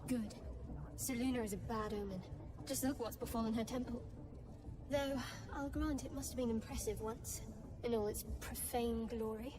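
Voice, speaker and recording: An actress playing a haughty, guarded and impatient adventurer in a video game, dry and disdainful. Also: female voice, fairly high pitch, fairly even intonation, moderate pace, in a large hall, recorded from a distance, background noise, lightly acted. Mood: wary disdain.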